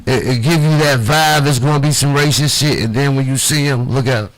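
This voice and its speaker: A man's voice: medium pitch, brisk, low-quality recording. A man from Chicago hosting a livestream show, talking in a loose, profane, swaggering way with street slang and a Chicago accent. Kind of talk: loose improvised banter.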